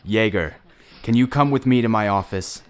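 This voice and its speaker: A man's voice: on the low side, normal speed, smooth, lightly acted. A man playing a stern, sardonic squad leader and harsh mentor in a fan audio drama, speaking curtly and dryly. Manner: curt, dry, sardonic.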